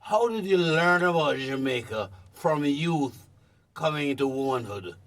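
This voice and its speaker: A man's voice: on the high side, expressive, a little slow, a bit bright, recorded from a distance. A man hosting an interview, asking probing questions with emphatic phrasing. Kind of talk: casual interview.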